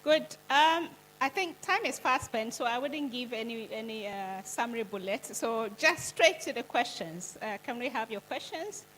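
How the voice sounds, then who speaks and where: A woman moderating a conference session, brisk and directive as she calls for questions. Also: on the high side, animated, fairly bright tone, a little hiss or hum, poor audio. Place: conference hall.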